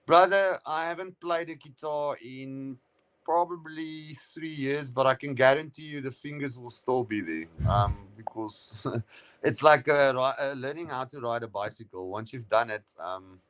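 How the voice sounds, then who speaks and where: A man's voice: medium pitch, a little slow, a bit bright, clean tone. A middle-aged man, a guest chatting on a live stream, rambling with many fillers and restarts. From South Africa. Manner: rambling, full of fillers and restarts, says "brother". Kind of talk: casual chat on a live stream.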